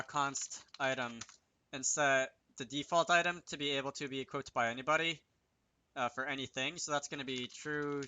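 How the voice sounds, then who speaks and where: Bright-voiced man thinking aloud through a tutorial. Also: medium pitch, clear, resonant tone. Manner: thinks aloud, hesitant and halting. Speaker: game developer presenting a tutorial series. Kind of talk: unscripted screen-recorded programming tutorial.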